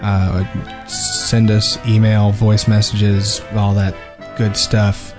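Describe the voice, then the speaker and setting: A man co-hosting a podcast in casual chat, speaking in a somewhat hesitant, halting way. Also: on the low side, little pitch movement, music in the background. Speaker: podcast co-host.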